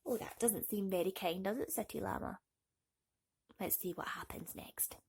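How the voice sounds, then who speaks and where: Husky woman reading a bedtime story. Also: medium pitch, normal speed, a little mellow. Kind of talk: expressive bedtime story narration for children.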